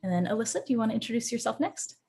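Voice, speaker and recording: A woman's voice: a little high, animated, racing, creaky, darkish tone, husky. A woman advisor hosting a webinar over a video call, welcoming and organized as she hands off to each speaker.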